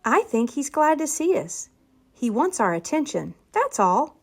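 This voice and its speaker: Storybook pupil, played by a woman: young, earnest, caring, raised pitch and expressive delivery. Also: unhurried, exaggerated voice. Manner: earnest, simple questioning.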